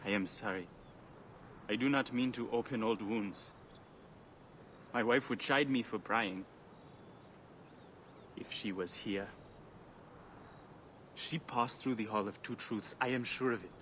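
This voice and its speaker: A grieving, devout Egyptian widower. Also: male voice, fairly low pitch, measured pace, long pauses, a little hiss or hum. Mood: sorrowful. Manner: earnest, sorrowful, formal and gentle. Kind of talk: dramatic video game acting.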